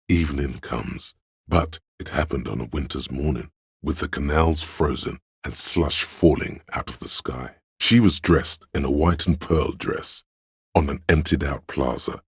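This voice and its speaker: An actor playing an erudite, reverent inner voice of knowledge in a video game, narrating in a measured, grandiloquent way with a deep, gravelly voice. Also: male voice, no echo, clean studio sound, full character voice. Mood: solemn, scholarly. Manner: measured, grandiloquent, savoring words. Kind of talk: dramatic scripted narration.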